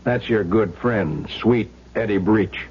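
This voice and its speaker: An American man playing a skeptical police lieutenant in an old radio crime drama, questioning with dry, knowing sarcasm. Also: fairly low pitch. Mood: sardonic, knowing. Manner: deliberate, dryly sarcastic, knowing. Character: skeptical, sardonic male police lieutenant interrogating a suspect. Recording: dull, noisy old radio recording with hum.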